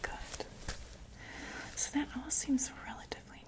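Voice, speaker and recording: A young woman whispering softly as a doctor in a close-miked ASMR roleplay, reassuring and full of small fillers. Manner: soft, slow, reassuring bedside whisper with many fillers. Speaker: ASMR creator playing a monster doctor.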